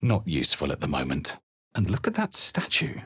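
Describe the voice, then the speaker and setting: An actor playing a wary, wry video game adventurer who makes short, dry, musing remarks to himself. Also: male voice, sits low, wildly swooping pitch, moderate pace, bright, forceful tone, breathy edge, dry recording, exaggerated voice. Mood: curious, cautious.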